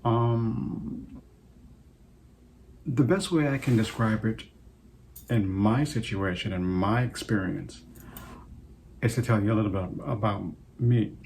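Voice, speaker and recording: A man's voice: fairly low pitch, darkish tone, a little hiss or hum. Reflective older man from Trinidad. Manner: reflective, unhurried, with many pauses. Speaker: host. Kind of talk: casual personal talk to camera.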